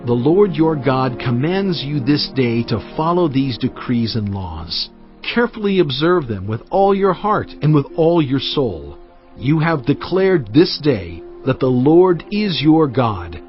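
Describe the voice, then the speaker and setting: Commanding old-world prophet for audio Bible: deliberate, declamatory, very animated melody, music underneath. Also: male voice, mid-range voice. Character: solemn, commanding male Hebrew prophet and lawgiver addressing his people. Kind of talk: dramatized audio Bible acting. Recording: dull band-limited audio over music. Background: background music.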